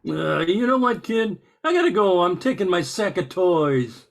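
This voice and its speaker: Man playing a gravelly, impatient crook Santa. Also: high-pitched, expressive, moderate pace. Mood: flustered. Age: older. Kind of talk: amateur radio play acting.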